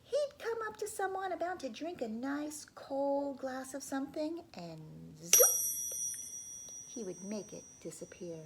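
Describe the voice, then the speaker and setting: A woman reading a folk tale aloud to children, pausing to ask them questions and dropping into whispers. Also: sits high, sing-song, very noisy. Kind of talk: children's read-aloud storytelling.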